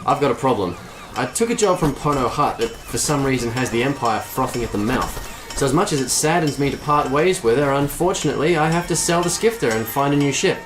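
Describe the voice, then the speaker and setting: A man's voice: mid-range voice, animated, brisk, music in the background, lightly acted, poor audio. A man playing a cocky, wisecracking smuggler pilot in an audio drama, glib and self-assured.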